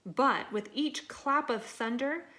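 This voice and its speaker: A woman reading a children's picture book aloud in an expressive, dramatic and deliberate way. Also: sits high. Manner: dramatic, deliberate delivery with acted sound words. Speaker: storybook reader.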